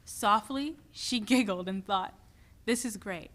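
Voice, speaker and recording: A woman's voice: mid-range voice, slow, fairly bright tone. A woman playing a stressed, driven mother and restaurant owner in a dramatic play reading. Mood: storytelling.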